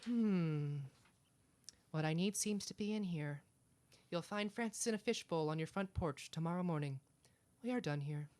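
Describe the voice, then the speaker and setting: A man's voice: fairly high pitch, moderate pace, darkish tone, clean tone, lightly acted. A man playing a menacing young blackmailer, controlled and haughty, in a radio drama. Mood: cool menace. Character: cold, menacing young male blackmailer back from boarding school. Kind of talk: campus radio serial drama acting.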